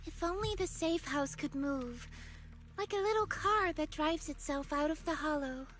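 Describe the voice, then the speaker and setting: An actress playing an excitable, affectionate little girl in an anime-style game, with an expressive, earnest delivery. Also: sits high, moderate pace. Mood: earnest, caring.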